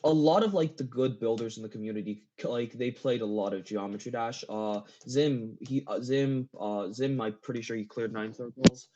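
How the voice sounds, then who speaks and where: A man in a casual gaming chat, speaking haltingly as a guest, repeating phrases and often giggly. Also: medium pitch, dark tone. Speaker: interview guest, game map builder. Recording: dull lo-fi voice chat.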